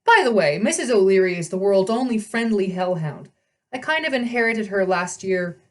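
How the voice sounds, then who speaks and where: A woman's voice: mid-range voice, expressive, moderate pace. A woman narrating a storybook aloud in the first person, expressively, as a storyteller.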